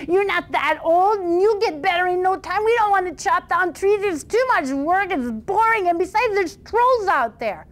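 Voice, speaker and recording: Whiny, frightened young brothers complain and panic in squeaky, strained voices, as a woman storyteller puts them on. They sound like lazy boys in a folktale told aloud, pitched much higher than the narration. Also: expressive, normal speed, hardly any pauses. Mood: whining. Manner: whining, panting. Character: whiny scared teenage sons, panicky.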